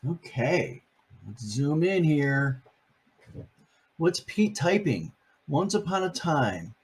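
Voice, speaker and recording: A man's voice: a little high, very animated, slow pace, slightly rough. An older man, a grandfather, reading a picture book aloud on video to his grandkids, playful and chatty, asking them questions as he goes.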